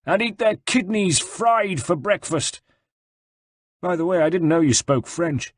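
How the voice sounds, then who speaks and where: A man acts out a hot-headed Italian soldier of fortune in an audiobook. He is blunt, growling and impatient, with the voice pushed high. The sound is close and dry. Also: fairly quick, darkish tone, no room sound, natural voice. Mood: muttering menace. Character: gruff, hot-tempered Italian mercenary general, loud and blunt. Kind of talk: dramatised audiobook narration.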